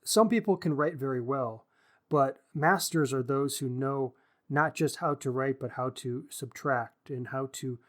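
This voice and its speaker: A man hosting a casual book discussion podcast, talking in a halting way as he searches for words. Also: mid-range voice, fairly bright tone. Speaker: podcast host.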